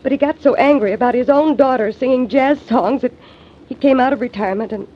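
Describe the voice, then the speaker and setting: A hesitant young singer. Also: female voice, high-pitched, a little fast. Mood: anxious. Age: young adult. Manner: hesitant, faltering speech. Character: young female singer, anxious and earnest. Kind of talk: 1940s radio acting. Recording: dull old radio recording.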